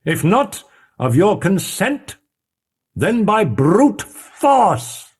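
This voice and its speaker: A man voicing a stern middle-aged male lawyer who speaks forcefully and urgently. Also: a little high, animated, slow pace, slightly rough, voice pushed up, exaggerated voice. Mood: urgent, commanding.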